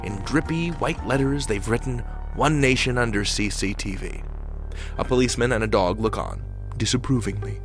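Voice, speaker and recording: A man's voice: medium pitch, normal speed, faint music behind. A man reading scripted narration as a podcast announcer and host.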